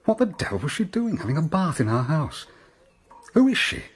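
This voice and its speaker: A blustering young gentleman in a period radio mystery. His voice sits a little high and jumps about excitably, with a breathy, husky edge. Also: moderate pace. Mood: shocked. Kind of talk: 1920s-set radio drama.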